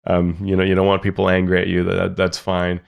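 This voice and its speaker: Low-voiced man musing with fillers. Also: completely flat delivery, fairly quick, creaky, clean tone. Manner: thinks aloud with many fillers and restarts. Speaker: podcast co-host. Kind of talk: casual chat, giving opinions.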